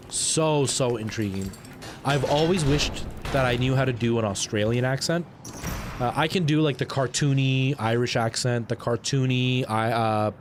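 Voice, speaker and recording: A young man from Canada chatting casually while live streaming a game, expressive and prone to repeating himself for emphasis. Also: medium pitch, slight background noise. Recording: music playing behind the voice.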